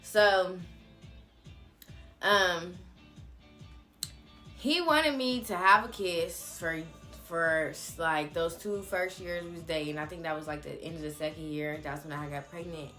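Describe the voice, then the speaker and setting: A young woman telling a personal story in a casual, candid, slangy vlog style with animated asides. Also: fairly low pitch, unhurried, long pauses, faint music behind. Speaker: vlogger.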